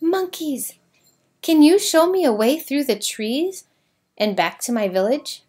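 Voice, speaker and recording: Woman voicing an earnest, high young storybook girl. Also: big pitch swings, a little slow, darkish tone. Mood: pleading. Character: young village girl lost in jungle, earnest, pleading.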